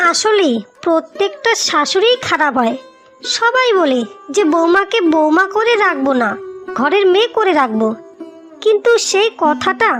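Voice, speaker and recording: Cartoon young daughter-in-law played by a woman: extremely high voice, earnest and aggrieved, over a music bed. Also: fairly bright tone. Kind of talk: cartoon dialogue acting.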